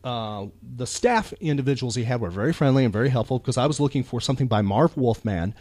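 An American man hosts a podcast with a very animated, emphatic delivery. His talk is casual and folksy, rambling along, recorded close and dry. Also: medium pitch, quick pace, no echo. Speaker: podcast host.

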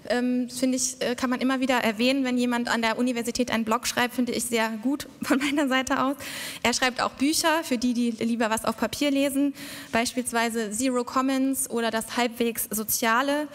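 A woman's voice: a little high, steady tone, moderate pace. A middle-aged woman moderating an event, chattily introducing a speaker at a stand microphone on stage with frequent filler sounds. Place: large dark stage hall. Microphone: stand mic. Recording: long hall reverb.

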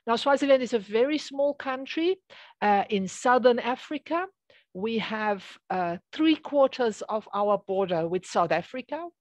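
A woman's voice: medium pitch, no echo. Dry-sounding woman presenting, from Belgium. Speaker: associate professor, guest speaker. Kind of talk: online academic presentation. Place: small office with bookshelves, indoors. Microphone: headset on a video call.

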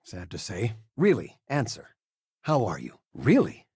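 Doting middle-aged game dad: folksy, lightly husky, lively intonation, close dry sound. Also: male voice, on the low side, measured pace, no room sound, full character voice. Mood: warm, affectionate. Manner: folksy, affectionate, conversational. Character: warm, doting middle-aged father, gently joking. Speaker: video game voice actor. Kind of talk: natural, conversational game character dialogue.

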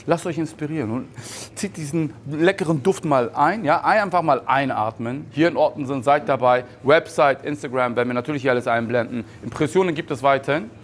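A man's voice: mid-range voice, brisk. A man in his forties presenting and interviewing casually on location as the host. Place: indoors in a restaurant bar. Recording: clear background noise, restaurant ambience.

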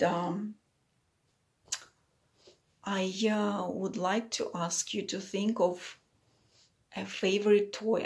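A woman in her thirties or forties reading a picture book to children as a teacher, with simple explanatory asides. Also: mid-range voice.

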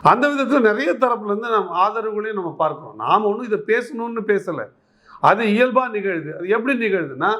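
High-pitched man arguing politics. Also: fairly bright tone, muffled recording. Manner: argumentative, emphatic, repeats phrases and poses rhetorical questions. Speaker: political commentator being interviewed. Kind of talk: political interview commentary.

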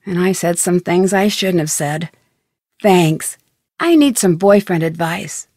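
An American actress playing a curious, polite, plucky young woman detective in a video game, speaking scripted lines in a clear, earnest way. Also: lowish voice, a little slow, a little mellow, dry recording, studio quality. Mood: curious, friendly.

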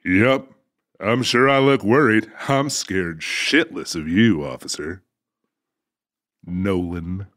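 An actor playing an easygoing, sassy trucker in an audiobook, folksy and teasing. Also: male voice, low voice, takes it slowly, fairly bright tone, no echo, clean studio sound. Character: easygoing, sassy male trucker with a joking temper. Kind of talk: dramatized audiobook dialogue.

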